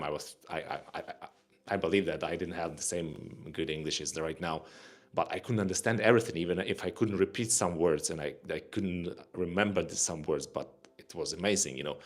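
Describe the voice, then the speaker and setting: A man from Ukraine with a Ukrainian accent, co-hosting a casual livestream chat, rambling and repeating words as he searches for phrasing. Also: deep voice. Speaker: co-host.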